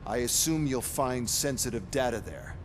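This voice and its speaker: An actor playing a curt, menacing superior who gives brusque, commanding orders in a video game. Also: male voice, expressive, moderate pace, natural voice. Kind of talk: video game cutscene acting. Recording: long reverb, faint rumbling noise.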